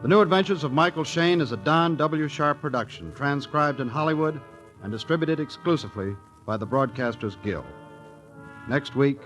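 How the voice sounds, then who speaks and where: A man's voice: a little high, normal speed, fairly bright tone. A man who is the show's director, announcing from a script in 1940s radio style. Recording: 1940s radio recording with music.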